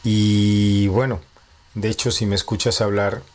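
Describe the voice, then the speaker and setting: A man in his thirties with a Mexico City Spanish accent, a composer answering interview questions in a measured, reflective way, thinking aloud as he goes. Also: fairly low pitch, level tone, a little mellow. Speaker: composer and postdoctoral researcher.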